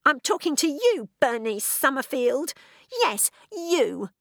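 A woman's voice: high voice, big pitch swings, slow, a bit bright, no echo, studio quality, pitched above the performer's own voice, exaggerated voice. A woman voicing a bitter teenage girl trapped inside someone's head, who whines, sneers and breaks into sobs. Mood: sneering. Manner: whining, sneering, sobbing outbursts. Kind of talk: audiobook character voice.

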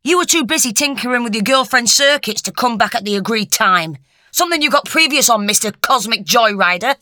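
A woman voicing a fiery young companion with a sharp tongue, scolding indignantly. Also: fairly high pitch, animated, brisk, no echo, studio quality, voice pushed up. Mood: furious.

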